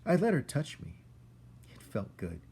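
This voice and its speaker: Guy as a tiny earnest boy mouse, polite and hesitant, slightly husky storybook voice. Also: a little high, huge pitch swings, unhurried, darkish tone, voice pushed up, full character voice. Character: small, meek, earnest young male mouse.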